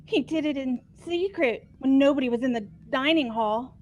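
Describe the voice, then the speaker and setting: A woman's voice: high voice, normal speed, a little mellow, lightly acted. A woman voicing a timid, anxious teenage student who stammers hesitantly in a video game. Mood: nervous.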